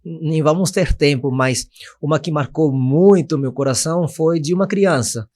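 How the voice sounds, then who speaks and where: A man's voice: fairly high pitch, normal speed, darkish tone. A man in his twenties from Venezuela, speaking Portuguese with a Venezuelan Spanish accent, earnestly giving his testimony as a missionary guest and now and then searching for words. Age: 20s. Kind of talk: podcast testimony.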